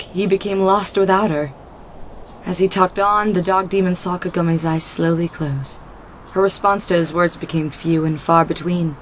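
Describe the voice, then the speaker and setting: A woman with a slightly low voice narrates a scripted story. It is a home recording, with noticeable noise behind her reading. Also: rough recording.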